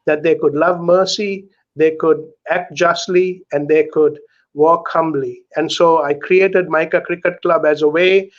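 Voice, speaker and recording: A middle-aged man from Sri Lanka speaks earnestly as an interview guest, often tagging his points with "right?". His voice sits somewhat high. The video call audio is dull and muffled. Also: fairly even intonation, a little slow. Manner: earnest, keeps adding "right?" to check in. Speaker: author and guest. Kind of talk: candid conversational interview talk.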